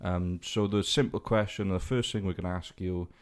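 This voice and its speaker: Welsh man, podcast host, very deep pitch, steady, filler-heavy questions. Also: moderate pace, clean tone. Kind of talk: interview questions.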